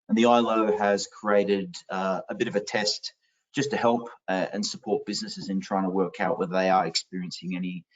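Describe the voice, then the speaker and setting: A low-voiced man with an Australian accent works through facts and definitions at an even, explanatory pace. The sound is dull and lo-fi, and he sits a little back from the mic. It is a video call from a home office. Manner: fact-heavy, explanatory, steady. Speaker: interviewee, sustainability executive. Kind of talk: webinar interview answering. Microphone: headset. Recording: lo-fi distant video call audio.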